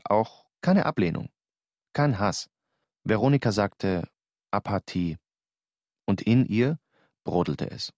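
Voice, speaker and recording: An actor narrating in the first person as a young actor, a thoughtful, questioning voice in a radio drama. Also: male voice, fairly low pitch, a little mellow, dry recording, studio quality. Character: Georg, young Austrian actor, reflective narrator.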